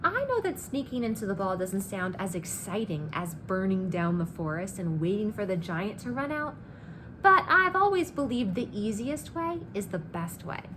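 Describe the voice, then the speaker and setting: Woman reader as a brash male giant killer from a children's book, breezy and swaggering, swooping pitch, noisy room. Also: big pitch swings, normal speed, fairly bright tone. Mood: confident. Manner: boastful, breezy, persuasive. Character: cocky, greedy, scheming man, a storybook giant killer. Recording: clear background noise.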